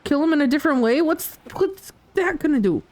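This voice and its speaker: A woman streamer reacts out loud to a game in a high, swooping voice. She is casual and exclaims often, swearing freely as she goes. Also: brisk. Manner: expressive, exclaiming, informal swearing. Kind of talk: live game playthrough commentary, casual reactions.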